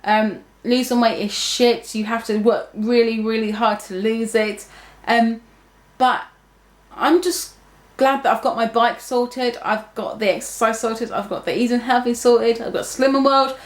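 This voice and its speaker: A woman's voice: a little high, steady tone, measured pace. A young woman talking casually to her viewers, rambling with many fillers and restarts. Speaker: vlogger. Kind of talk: casual talk to camera. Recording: echoey room.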